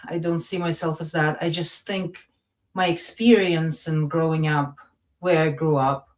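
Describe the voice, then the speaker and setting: Woman guest from Latvia. Low voice, unassuming, feels for her words in informal personal talk. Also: steady tone, unhurried. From Latvia, then part of the Soviet Union. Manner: modest, hesitant, searching for words. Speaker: interview guest. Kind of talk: casual personal conversation.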